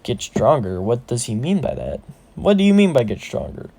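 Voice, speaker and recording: A young male adventurer with a cocky, casual way of talking, acted out by a man storyteller. He is a confident fantasy story hero, plain-spoken and at an ordinary male pitch. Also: wildly swooping pitch, moderate pace, creaky, mellow, full character voice. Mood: puzzled.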